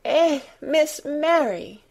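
A woman's voice: medium pitch, expressive, unhurried. A woman voicing an agitated, plain-spoken young housemaid, exclaiming in dialect as in a storybook. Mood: frightened, tearful.

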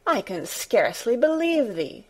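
A woman's voice: mid-range voice, animated, measured pace. A woman voicing an agitated, plain-spoken young housemaid, exclaiming in dialect as in a storybook. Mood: amazed.

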